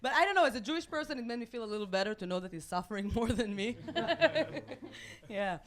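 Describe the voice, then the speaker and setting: A woman in her late twenties from Israel, with an Israeli accent and Hebrew as her first language, performing stand-up with deadpan, self-mocking delivery and halting restarts. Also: a little high, crowd noise. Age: late 20s. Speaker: stand-up comedian. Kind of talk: stand-up routine. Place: comedy club stage with a live audience.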